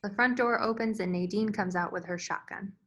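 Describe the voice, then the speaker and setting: A woman's voice: medium pitch, fairly quick, a bit bright. A woman reading scripted stage directions as the narrator of a screenplay.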